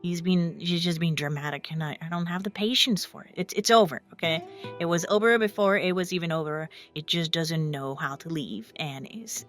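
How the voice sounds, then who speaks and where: An exasperated scientist played by a woman in a horror audio drama. Her voice is on the low side, with soft music behind it, and she sounds brittle and defensive as she stammers and repeats herself. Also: fairly even intonation, normal speed, lightly acted.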